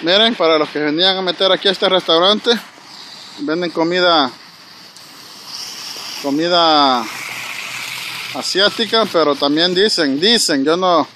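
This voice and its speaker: A chatty man with a high, bright voice, talking to his viewers as he walks down a street. Recorded outdoors on a handheld phone, with faint traffic noise behind him. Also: measured pace, long pauses. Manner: chatty, addresses viewers directly, frequent "¿verdad?". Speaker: vlogger walking and filming. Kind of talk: casual walking vlog narration. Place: snowy city street outdoors. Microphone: handheld phone camera.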